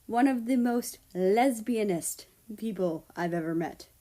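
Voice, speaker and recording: A woman's voice: mid-range voice, lively intonation, a little slow, mellow, lightly acted. A woman voicing a teasing young man, a personified country in a story, with casual, sarcastic banter.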